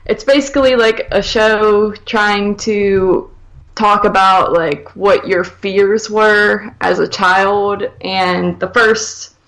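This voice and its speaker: A woman's voice: medium pitch, fairly even intonation, slow, fairly bright tone, low-quality recording. A woman co-hosting a podcast, reviewing films in casual, informal chat full of hedges and filler words. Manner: informal, hedging, filler-heavy with frequent "like". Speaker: podcast co-host.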